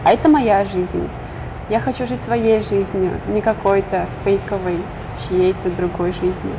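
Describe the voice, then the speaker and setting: A woman's voice: mid-range voice, fairly even intonation, a little slow. A young woman from Uzbekistan, a guest in a casual interview, answering in an earnest, thoughtful way from a lounge. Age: young adult. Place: lounge with leather sofas and bookshelves, indoors. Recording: noisy room ambience.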